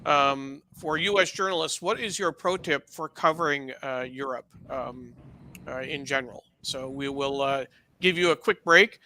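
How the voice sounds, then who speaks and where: A man co-hosting a casual live show, talking in a halting, wordy and appreciative way. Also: mid-range voice, bright, forceful tone, slight background noise. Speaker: co-host.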